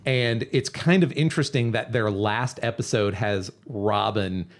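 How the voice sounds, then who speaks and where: A man's voice: lowish voice, a little slow. A man hosting a podcast, talking through a cartoon series in a casual, analytical and emphatic way. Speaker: podcast host.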